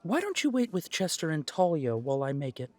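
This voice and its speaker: A man's voice: on the high side, huge pitch swings, a little fast, darkish tone. A man playing an attentive, courteous male coffee shop keeper in an audio drama, reassuring an old friend. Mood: welcoming.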